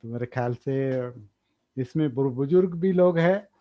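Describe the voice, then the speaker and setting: A man gives a dharma talk, thinking aloud, pausing often and repeating key words. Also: mid-range voice. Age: 60s. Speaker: meditation teacher. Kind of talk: slow explanatory dharma talk. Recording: dull lo-fi recording.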